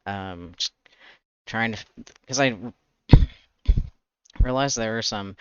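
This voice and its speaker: A man with a lowish voice talks casually to a livestream as he works. He rambles and hesitates, hunting for words. The sound is close and dry, though a little dull. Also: extremely slow, dry recording. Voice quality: raspy. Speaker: streamer, webcomic artist. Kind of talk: casual chatty livestream monologue. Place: indoors, livestream.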